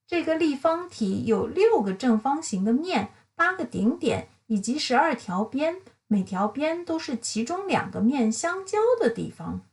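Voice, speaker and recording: A woman's voice: high voice, very animated, moderate pace, few pauses. A woman voicing a thoughtful, curious young male knight in a storybook, working problems out aloud.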